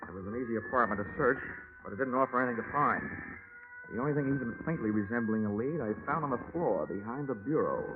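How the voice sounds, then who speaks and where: An American actor playing a hard-boiled, wisecracking insurance investigator in a vintage radio drama, with clipped, dry delivery. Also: male voice, mid-range voice, lively intonation, quick pace, heavy background noise, natural voice. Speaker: lead actor, narrator. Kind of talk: 1940s radio detective acting. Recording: tinny vintage radio recording, music behind.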